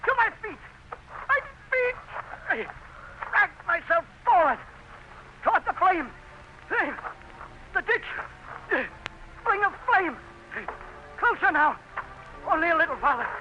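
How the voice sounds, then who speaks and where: An actor playing a resolute, proud planter in an old-time radio drama, narrating his own ordeal with intense, halting delivery. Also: very high voice, big pitch swings, music in the background, heavily acted. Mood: agonized, desperate. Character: resolute, proud male planter battling swarming ants in agony. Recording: noisy, muffled vintage radio recording.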